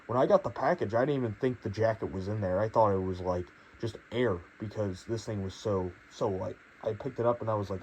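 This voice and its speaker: A young man with a hoarse voice from a cold, casually reviewing a jacket in a rambling, hedging way with lots of fillers. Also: on the low side, darkish tone, a little hiss or hum, low-quality recording. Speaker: gear reviewer.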